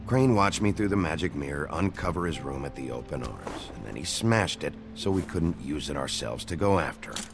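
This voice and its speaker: A man voicing a weary, gruff sheriff in a video game, guarded and blunt, with gravelly, terse delivery. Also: animated, normal speed, hardly any pauses, over music. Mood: guarded, tired. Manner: terse, low-key delivery. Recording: background score under the voice.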